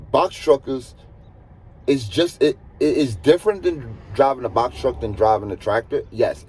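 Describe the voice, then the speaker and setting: Husky-voiced New man, earnest and emphatic. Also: on the low side, darkish tone. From United States. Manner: earnest, emphatic, repeats points for stress. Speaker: truck driver and vlogger. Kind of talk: casual advisory monologue.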